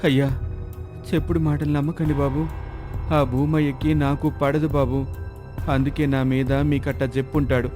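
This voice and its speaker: Guy as a kind village man, really mellow voice, humble, story cartoon, light music behind. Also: medium pitch, level tone, moderate pace, pauses often, lightly acted. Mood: pleading. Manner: deferential, earnest. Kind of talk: moral story cartoon voice acting.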